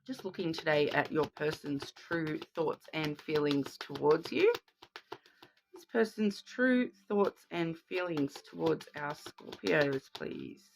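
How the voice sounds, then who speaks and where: Sniffly woman with a gravelly creak. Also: low-pitched, takes it slowly, a little hiss or hum, poor audio. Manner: chatty, frequent "okay?" check-ins. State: sniffly with a cold. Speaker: tarot card reader. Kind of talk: casual card reading to viewers.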